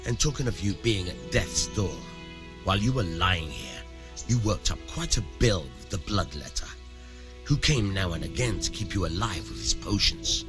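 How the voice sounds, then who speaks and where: Sly gruff medieval miller, very animated. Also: male voice, low-pitched, moderate pace, music in the background. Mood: businesslike. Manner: sly, persuasive, coarse-tongued. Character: shrewd, amoral medieval village miller, gruff man. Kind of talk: video game dialogue acting.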